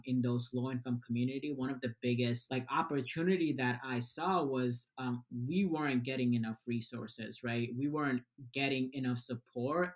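A man from Bangladesh, a nonprofit founder, answering interview questions earnestly with many fillers and "right?" tags. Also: mid-range voice.

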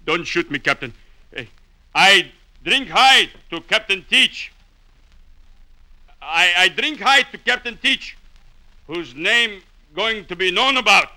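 An actor playing a cowed, pleading sailor in old-time radio drama, halting and submissive. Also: male voice, sits high, expressive, sharp tone, heavily acted, rough recording. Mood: frightened, pleading. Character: cowed, pleading male sailor forced to toast.

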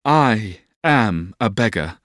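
A man voicing an earnest, lovestruck young gentleman in a storybook reading, solemn and pleading in drawn-out declarations. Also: mid-range voice, fairly even intonation, dry recording, studio quality, pitched above the performer's own voice, natural voice.

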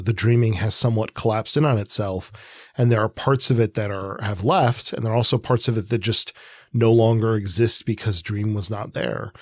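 A man co-hosting a podcast, talking through books casually in a halting, thinking-aloud way. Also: lowish voice, husky, no echo. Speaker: podcast co-host. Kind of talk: casual book discussion.

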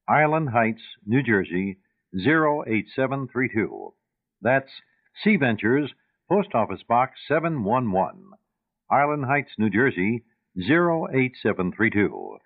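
A man reading a scripted charity appeal as a radio announcer on a tinny old recording. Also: medium pitch.

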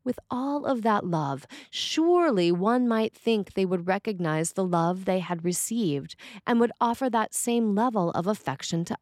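A woman reading a scripted sermon as a preacher, with measured, deliberate phrasing. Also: medium pitch, a little mellow, dry recording, studio quality.

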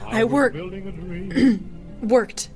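An actress playing a troubled, weary, earnest woman who speaks hesitantly in a radio drama. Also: medium pitch. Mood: nervous, weary. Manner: hesitant, halting. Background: music.

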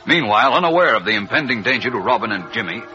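A man's voice: mid-range voice, expressive, quick pace, bright, forceful tone, faint music behind. Dramatic male narrator, muffled old radio.

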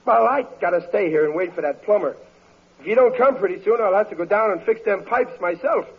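Gullible American family man, high voice, slangy and indignant, 1940s radio comedy, dull hissy recording. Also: lively intonation, fairly quick, breathy edge, heavily acted. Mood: expectant, fatherly. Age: middle-aged. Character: blustering, gullible working-class family man, easily exasperated. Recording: muffled, noisy vintage radio recording.

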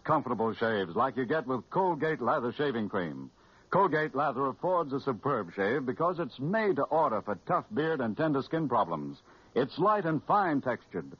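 A man announcing a scripted 1940s radio commercial in a polished, persuasive, slightly husky voice. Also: a little high, no room sound. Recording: dull, dry old radio recording.

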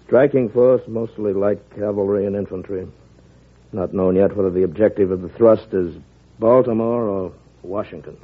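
An actor playing an earnest, urgent government secretary in an old-time radio drama, with a formal manner. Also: male voice, fairly low pitch, moderate pace, husky. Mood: grave, reporting. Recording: tinny, narrow old radio recording.

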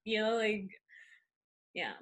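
A woman's voice: medium pitch, takes it slowly. A woman with a Spanish accent, a native Spanish speaker, chatting as a podcast guest and telling stories with many fillers. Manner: animated storytelling, many fillers. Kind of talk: casual conversation.

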